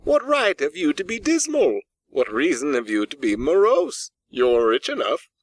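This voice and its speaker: Man acting a cheerful young gentleman, high and eager. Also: huge pitch swings, moderate pace, dry recording, exaggerated voice. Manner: exclamatory, eager, pleading. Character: cheerful, earnest young nephew, warm-hearted Victorian gentleman. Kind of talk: audiobook character acting.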